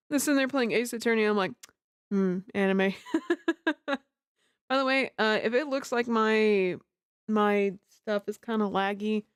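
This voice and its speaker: A woman's voice: mid-range voice, clean tone, no room sound. A woman chatting casually on a game livestream, giggly, rambling and self-deprecating, speaking close to the microphone. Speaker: livestreamer, amateur voice actor. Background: music.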